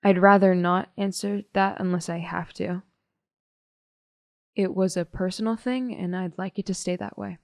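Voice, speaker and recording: Low female voice, woman playing bitter teen girl, guarded, blunt, naturalistic audio play, dry recording. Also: steady tone, moderate pace, dry recording, close to an everyday voice. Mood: blunt, resentful. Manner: guarded, curt, sardonic. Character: bitter, blunt high school girl, estranged friend of missing girl. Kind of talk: naturalistic audio drama police testimony.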